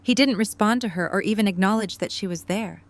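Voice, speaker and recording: A woman's voice: medium pitch, brisk. A woman reading scripted story narration for an audio drama.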